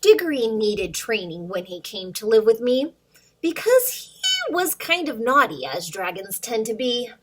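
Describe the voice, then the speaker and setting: Slow-paced, higher-voiced woman reading to children. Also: big pitch swings, a little hiss or hum. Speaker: children's story time reader and teacher. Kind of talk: informal talk and storybook read-aloud for children.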